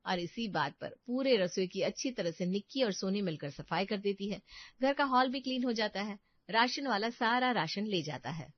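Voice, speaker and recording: A woman's voice: medium pitch, animated, normal speed, dry recording, highs cut off. A woman narrating a scripted family moral story as a storyteller.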